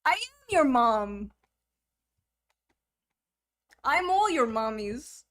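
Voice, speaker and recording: A woman's voice: fairly high pitch, very animated, a bit bright. A woman in her thirties chatting casually on a livestream, teasing her viewers, swearing freely and laughing often. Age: 30s. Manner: teasing, profane, quick to laugh. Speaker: streamer.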